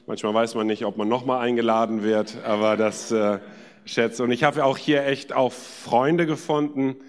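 A man's voice: mid-range voice, fairly even intonation, normal speed. Male guest preacher in an echoey hall. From India. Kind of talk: sermon with personal anecdotes. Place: large church hall. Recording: echoey hall with long reverb.

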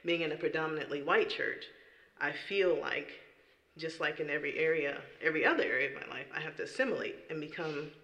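A woman's voice: deep voice, fairly quick, a bit bright, reverberant, lo-fi sound. A woman who sings in worship, giving an earnest, emphatic personal testimony in an interview. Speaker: worship singer.

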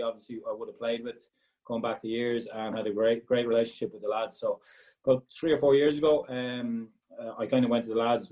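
An Irishman with a Galway accent talks quickly and easily, his voice soft and mellow. He answers questions in a loose, chatty way, often doubling words as he picks his thought back up. He sounds like a former footballer looking back on his work. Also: mid-range voice, poor audio. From Ireland, Galway. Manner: chatty, repeats words when restarting. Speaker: former footballer, regional sales manager. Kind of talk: casual interview answers.

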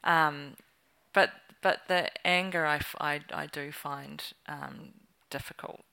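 Low-voiced New woman on a panel. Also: steady tone, gravelly, pushed and bright, smooth. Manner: thoughtful, halting with many fillers. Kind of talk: panel discussion.

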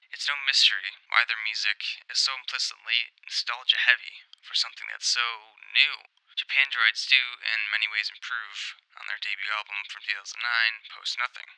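Bright, crisp young man with gravelly edge. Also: mid-range voice, no room sound, low-quality recording. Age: 20s. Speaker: music reviewer. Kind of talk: scripted essay narration, album review.

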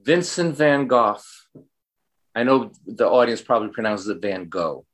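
A man speaking as an interview guest, giving earnest, deliberate and emphatic answers in a reflective way. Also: fairly low pitch, lively intonation.

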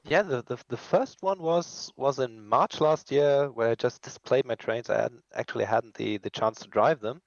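A man's voice: mid-range voice, moderate pace, vocal fry, few pauses, dry recording. A man in his twenties from Germany chatting casually as a guest on a podcast. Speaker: podcast guest, hobby train builder. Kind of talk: casual chat. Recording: dull, dry lo-fi call audio.

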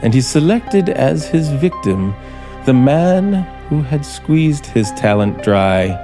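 Desperate, menacing scriptwriter. Measured delivery, dramatic pauses, vintage radio suspense with underscore. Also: male voice, fairly high pitch, big pitch swings, exaggerated voice. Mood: bitter, brooding. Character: embittered, desperate male radio scriptwriter holding a gun. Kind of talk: 1950s radio suspense acting. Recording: 1950s radio broadcast, music behind.

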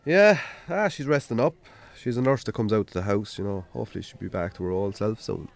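An actor in a radio drama playing a weary, sardonic Irish farmer with a dry, colloquial, sweary way of talking. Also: male voice, fairly low pitch, a little fast, gravelly. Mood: polite, guarded. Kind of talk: naturalistic radio drama acting.

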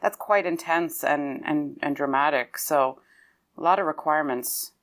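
A low-voiced woman from Lebanon who grew up speaking Arabic talks about her singing career. She speaks thoughtfully, circles back, restarts her sentences and laughs easily. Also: normal speed. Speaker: opera singer, guest. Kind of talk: conversational interview.

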